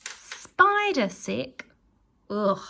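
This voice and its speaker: Slow, expressive woman reading to children. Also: on the high side, creaky. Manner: expressive, with dramatic emphasis and exclamations; pauses to address young listeners with questions. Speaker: teacher reading a storybook to children. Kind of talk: animated storytelling read-aloud for young children.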